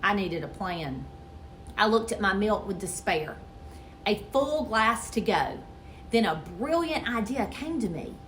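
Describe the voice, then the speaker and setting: A woman reading a children's story aloud in an expressive, theatrical storytelling manner. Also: mid-range voice, a little slow, a little hiss or hum, poor audio. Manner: expressive, theatrical with character voices. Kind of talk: animated dramatic read-aloud.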